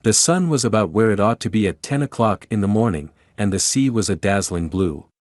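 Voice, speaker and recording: A man narrates a storybook from a script. His voice sits fairly low. The recording is dry, with no room sound. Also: expressive, brisk, no room sound.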